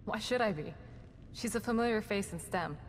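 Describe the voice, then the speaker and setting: A woman playing a composed, professional staff psychologist in a horror game, measured and matter-of-fact. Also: close to an everyday voice. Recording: reverberant hall with rumbling noise.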